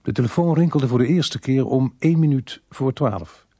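A weary, sceptical police detective in a radio drama. His mellow, medium-pitched voice is dry and grumbling, with a Netherlands Dutch accent. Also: lively intonation, moderate pace, husky, dry recording. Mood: wry, weary. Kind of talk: Dutch radio play acting.

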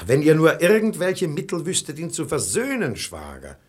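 An actor playing a sensible, admonishing brother-in-law who urges moderation, speaking verse in a radio play. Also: male voice, a little high, huge pitch swings. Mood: cautioning. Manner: reasoning, admonishing, measured.

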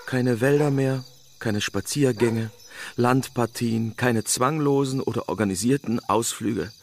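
A man's voice: medium pitch, a little slow, gravelly, a little hiss or hum. An actor playing a wistful townsman who chronicles resort life in a German radio drama.

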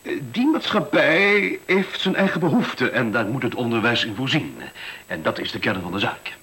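A man's voice: mid-range voice, animated, normal speed, sharp tone, husky, heavily acted. A man acting in a Dutch radio play as a middle-aged school director, defensive and officious, hesitating and then lecturing. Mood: lecturing, self-assured. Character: defensive, self-important male school director pitching efficiency.

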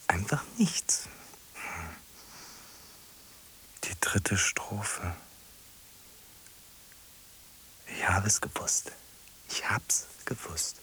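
An actor playing an anxious, guilt-ridden man in a radio drama, husky and hesitant, speaking in fragments. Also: deep voice, fairly bright tone, full character voice. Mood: resigned, whispering. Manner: hesitant, fragmented, muttering to himself.

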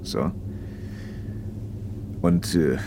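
An actor playing a paternal, probing factory manager in a radio play, speaking in a measured, slightly didactic way. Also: male voice, low-pitched, mellow, slight background noise. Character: socialist factory manager, paternal, probing, talkative male official. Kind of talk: naturalistic German radio play dialogue.

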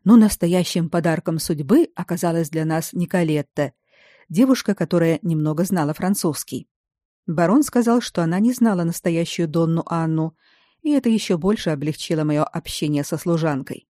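Lady reading an audiobook, steady and even, dark mellow voice, super dry sound. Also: fairly low pitch, dry recording. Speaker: audiobook narrator. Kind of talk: first-person audiobook narration.

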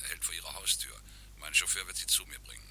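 An actor playing a grandiloquent, cynical schemer in a radio crime play, speaking in a theatrical, rhetorical way. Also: male voice, sits low, fairly even intonation, fast, sharp tone, a little hiss or hum, full character voice. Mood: suave, persuasive. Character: smooth, grandiloquent male schemer, cynical con man.

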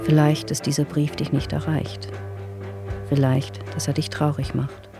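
A woman held captive, reciting her farewell letter in a radio drama. Her delivery is sombre and very even, with a creaky edge. Guitar music plays behind her. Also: low voice. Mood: resigned, wistful. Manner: sombre, measured, restrained. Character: woman prisoner on a forced march, writing a farewell letter, grave. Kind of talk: radio drama monologue, letter read aloud.

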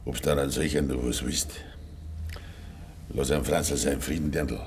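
An actor playing a gruff, stubborn, grieving old farmer in Bavarian dialect in a 1950s radio play, brooding and curt. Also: male voice, rumbling low, steady tone, normal speed, darkish tone. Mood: bitter, gruff. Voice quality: gravelly. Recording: 1950s radio recording with faint hiss.

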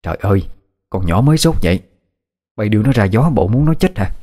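A man's voice: on the low side, big pitch swings, fairly quick, warm tone. A man voicing an alarmed, protective middle-aged mother with a Southern Vietnamese accent in a dramatised audio story. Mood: alarmed scolding. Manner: exclaiming, scolding.

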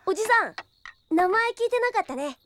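A woman playing a cheeky, excitable, chatty young boy in an audio drama, eager and boyish. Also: very high-pitched, quick pace, a little hiss or hum. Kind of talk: Japanese audio drama acting.

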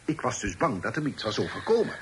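An actor playing a dignified older father, a city magistrate in a Dutch radio play, measured and stately in manner. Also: mid-range voice, huge pitch swings. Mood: measured, probing. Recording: noisy old radio drama with background clamour.

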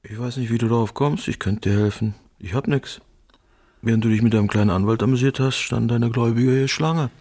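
An actor plays an unsentimental man who minds the money in a radio drama. His mid-pitched voice is dry and matter-of-fact, with a needling edge. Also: fairly even intonation, fast talker, a little mellow, lightly acted. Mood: reproachful. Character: dry, unsentimental, penny-counting manager of a diva. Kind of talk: German radio play acting.

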